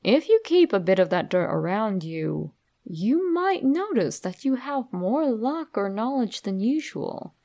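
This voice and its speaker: Gravelly-edged woman narrating horror, unhurried. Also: mid-range voice, very animated, warm tone. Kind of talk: scripted creepypasta narration, addressed to the listener.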